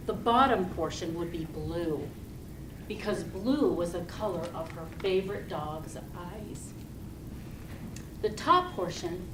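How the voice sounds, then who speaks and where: Woman, club speaker, storytelling speech, deliberate expressive delivery, distant microphone, clear background noise. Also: lowish voice. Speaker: club speaker giving a tall tale speech. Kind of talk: rehearsed storytelling speech to an audience. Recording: roomy, distant mic with loud noise.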